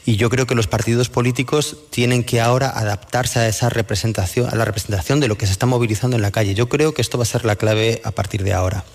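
A man's voice: mid-range voice, fairly even intonation, fast, few pauses. A man with an accent from Spain, giving political commentary as a radio panelist. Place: live radio show in a venue. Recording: reverberant hall.

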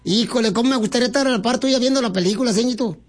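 A man plays a very high-voiced, silly Mexican guy on a prank phone call. He is naive and flirty, full of slangy, deadpan wordplay. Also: racing, faint music behind. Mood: playful, flirty.

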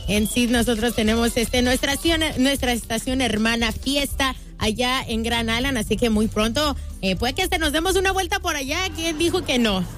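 A woman's voice: on the high side, normal speed, few pauses, fairly bright tone, faint music behind. A woman radio DJ, hosting a live interview in an effusive, upbeat way. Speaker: radio DJ host. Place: radio studio.

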